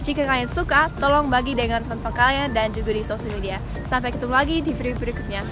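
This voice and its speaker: A woman's voice: a little high, quick pace. A woman from the United States, an English speaker, chatting casually to camera as a vlogger with small fillers. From United States (Texas). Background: background music.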